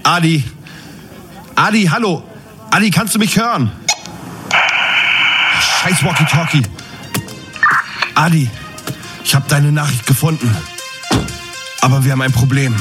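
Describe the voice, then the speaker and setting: A man's voice: fairly high pitch, measured pace, pauses often, loud music behind the voice, lightly acted. A man playing an agitated townsman hunting clues, urgent and often talking to himself, in a comedic audio drama. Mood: urgent, frustrated.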